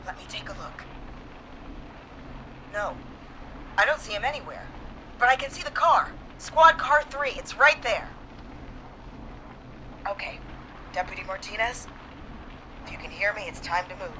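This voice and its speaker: A woman's voice: medium pitch, a little slow, long pauses, noisy background, close to an everyday voice. An actress playing a brave but frightened small-town woman dispatcher on the run in a video game. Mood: hushed, wary, determined.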